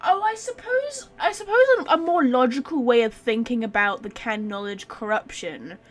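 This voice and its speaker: A woman's voice: fairly high pitch, expressive, moderate pace. A woman streamer giving casual gameplay commentary, playfully talking back to the characters. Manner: playful, reacting aloud to characters.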